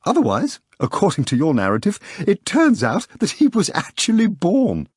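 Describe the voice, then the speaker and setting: A man doing a high-pitched lecturing editor. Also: wildly swooping pitch, moderate pace, a little mellow, slightly rough, no room sound, clean studio sound, heavily acted. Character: pedantic male editor, lecturing, self-assured.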